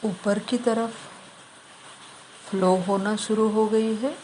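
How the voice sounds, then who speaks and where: A woman leading a guided meditation, giving instructions in short, measured phrases with long pauses between them. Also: mid-range voice, fairly even intonation, dark tone, slight background noise.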